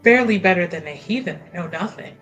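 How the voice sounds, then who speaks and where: A woman playing a flattering, wheedling noblewoman niece in a tabletop game, drawing out her words theatrically. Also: on the low side, quick pace, soft background music. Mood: feigned piety. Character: flattering, coy noblewoman niece feigning piety.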